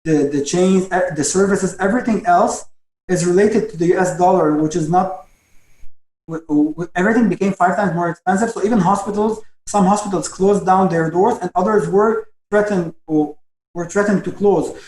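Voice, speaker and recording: Young man from Lebanon with a high voice, halting and full of restarts; echoey room on a video call. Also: darkish tone. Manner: halting, frequent restarts and stammers. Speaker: guest doctor. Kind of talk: webinar interview answers.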